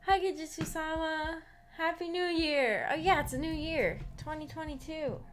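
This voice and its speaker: A woman with a fairly high voice hosts a relaxed livestream. She talks informally to her viewers, giggly and rambling, often restarting her thoughts. Faint music plays behind her. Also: moderate pace. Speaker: livestream host of a visual novel book club. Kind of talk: casual livestream chat with viewers. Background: background music.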